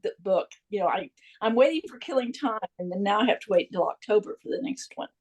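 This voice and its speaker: A woman's voice: mid-range voice, expressive, quick pace, slightly rough. A woman reader on a video call gushing enthusiastically to the author about the books. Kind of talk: casual video call chat.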